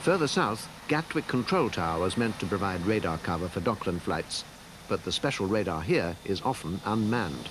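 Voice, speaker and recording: A man's voice: low voice, expressive, normal speed. A man reading scripted narration as the narrator of a documentary. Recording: noisy dated TV documentary soundtrack.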